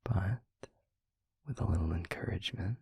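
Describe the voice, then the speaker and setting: A man playing a tender, devoted demon lover in an intimate audio roleplay, speaking gently and poetically in a breathy, hushed whisper close to the microphone. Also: low voice, no echo, heavily acted. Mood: dreamy, tender. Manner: slow, gentle, formal poetic phrasing. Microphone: very close microphone, dry.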